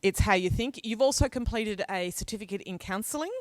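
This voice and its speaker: A woman's voice: medium pitch, a little fast, no echo. A woman hosting a podcast, asking a guest long winding questions. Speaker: podcast host. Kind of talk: interview questions.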